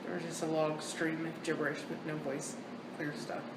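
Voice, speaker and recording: A woman ghost hunter calling out single halting words she hears, then explaining them casually. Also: deep voice, flat delivery. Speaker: paranormal investigator relaying words she hears. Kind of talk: casual ghost-hunt chatter. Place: old hotel wine cellar, basement. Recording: echoey cellar, distant mic, loud background noise.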